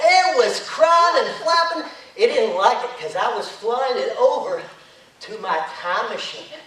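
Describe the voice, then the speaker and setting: A man telling a tall tale as a contest speaker, acting out the action with big swings in his voice. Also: very high-pitched. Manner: animated storyteller, acting out the action. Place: hotel conference room. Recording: echoey room, recorded from far off.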